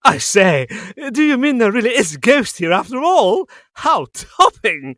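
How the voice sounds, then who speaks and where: Excitable high-pitched posh English lad. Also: male voice, measured pace, no room sound, studio quality, heavily acted. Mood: thrilled. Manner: exclamatory, jolly posh schoolboy slang. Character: excitable upper-class English youth, mischievous and gleeful. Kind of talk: period audio drama acting.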